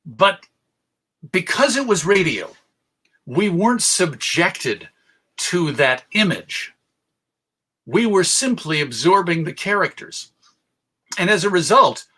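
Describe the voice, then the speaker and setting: A man presenting a history lecture in a measured, deliberate way, pausing for emphasis. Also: a little high, bright, forceful tone, slightly rough.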